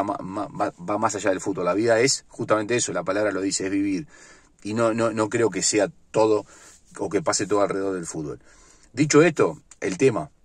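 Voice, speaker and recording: A man's voice: lowish voice, breathy edge, dry recording. A man in his forties with a Uruguayan Spanish accent, ranting bluntly about football from inside a car, with many fillers and restarts. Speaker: sports vlogger. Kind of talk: opinionated solo football commentary. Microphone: phone or webcam at arm's length.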